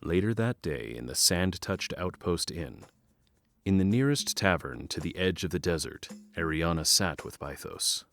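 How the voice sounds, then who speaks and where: A man's voice: deep voice, animated, normal speed, vocal fry, fairly bright tone, no echo, heavily acted. A man reading scripted narration for a fantasy audio drama.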